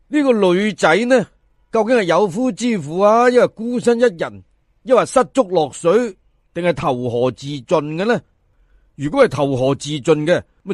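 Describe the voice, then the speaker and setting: A male Cantonese storyteller narrates colloquially to his listeners. His voice sits a little high and moves up and down a great deal, with theatrical emphasis. The recording is close and dry. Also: no echo. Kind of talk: colloquial folk-tale narration.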